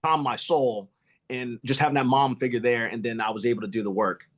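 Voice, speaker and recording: A man's voice: medium pitch, steady tone, fairly quick. A man hosting a podcast, thoughtfully recounting a personal experience in casual chat. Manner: reflective storytelling. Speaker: podcast host.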